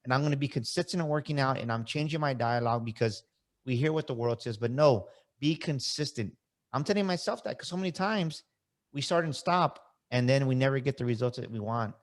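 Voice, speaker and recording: A man's voice: mid-range voice, fairly quick. A man in his forties hosting a podcast interview, speaking fervently and repeating phrases for emphasis. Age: 40s. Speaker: podcast host. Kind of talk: motivational interview talk.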